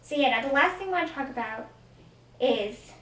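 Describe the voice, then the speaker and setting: A woman's voice: high voice, animated, fairly quick, fairly bright tone. A young woman co-hosting a podcast, talking eagerly in a gushing way with many fillers. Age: young adult. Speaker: podcast co-host. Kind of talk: casual sibling chat. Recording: echoey room, distant mic.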